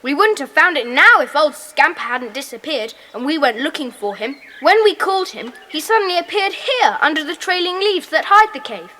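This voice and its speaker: Bright, high-voiced bossy schoolboy. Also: female voice, animated, moderate pace, hardly any pauses. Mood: eager, commanding. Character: bossy, brisk schoolboy leader of a club. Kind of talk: children's audio drama acting. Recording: faintly noisy cassette tape.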